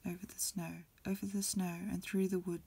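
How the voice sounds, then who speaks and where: Breathy whispering woman reading a story, creaky. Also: on the low side, flat delivery, normal speed, warm tone. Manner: hesitant reading with stumbles and repeats. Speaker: amateur reader. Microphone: close recording, lo-fi home setup.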